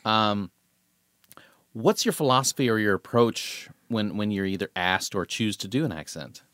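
A man's voice: fairly low pitch, normal speed, fairly bright tone, no room sound, clean studio sound. A man interviewing in a casual, conversational way, agreeable and a little hesitant in how he phrases things.

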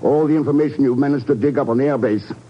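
An actor playing a cool, commanding secret agent in a 1950s radio drama, speaking in a terse, authoritative way. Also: male voice, medium pitch, fast talker, natural voice. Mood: coolly threatening. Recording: muffled, noisy 1950s radio recording.

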